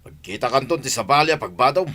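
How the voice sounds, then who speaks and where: A man's voice: medium pitch, animated, quick pace, fairly bright tone, husky. A man playing a lazy, hungry village husband who whines and grumbles in an Ilocano comedy radio drama. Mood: grumbling. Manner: whining, complaining.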